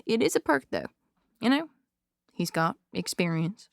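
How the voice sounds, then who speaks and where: A woman's voice: on the low side, very animated, a little slow, vocal fry, dry recording, full character voice. A woman plays a defiant young saloon star in an Old West audio drama. Her delivery is cool and wry, in a close, dry recording. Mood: defensive.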